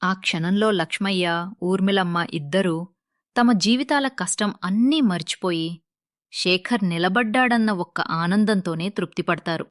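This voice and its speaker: A woman's voice: fairly low pitch, unhurried, no room sound. Female storyteller, dry studio-like sound. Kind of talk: scripted moral story narration.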